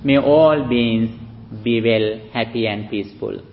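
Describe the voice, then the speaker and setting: Sri Lankan monk preaching slowly. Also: male voice, mid-range voice. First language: Sinhala. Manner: slow, halting speech with many fillers. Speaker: Buddhist monk. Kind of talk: dharma talk with interpreter. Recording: dull lo-fi recording.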